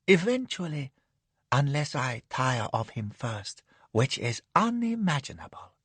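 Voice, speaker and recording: A man voicing a drowsy, capricious young imperial concubine who purrs her words slowly. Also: medium pitch, very animated, a bit bright, husky, dry recording, exaggerated voice. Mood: languid.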